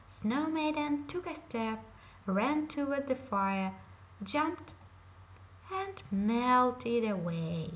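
Woman storyteller, slow and careful, fairly high voice, dull lo-fi recording. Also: fairly bright tone. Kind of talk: reading a fairy tale aloud.

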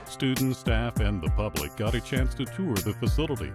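Newsreel narrator: American man, middle-low voice with expressive range, scripted read. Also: moderate pace. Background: music bed.

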